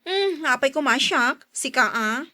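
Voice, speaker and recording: Teasing office friend played by a woman, Ilocano accent, very high voice, gossipy and highly animated. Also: unhurried, exaggerated voice. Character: playful, gossipy female friend and coworker. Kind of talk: radio drama acting.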